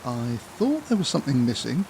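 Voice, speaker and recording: A British actor playing a grumpy, sarcastic small-town office worker in a comedy audio drama, grumbling and swearing sardonically. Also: male voice, mid-range voice, huge pitch swings, a little fast, vocal fry, a little mellow, a little hiss or hum, rough recording. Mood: sardonic.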